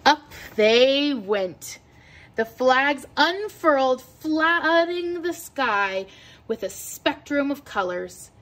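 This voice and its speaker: Slow-paced, higher-voiced woman reading a storybook. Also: expressive, a bit bright. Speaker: read-aloud teacher. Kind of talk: children's picture book read-aloud.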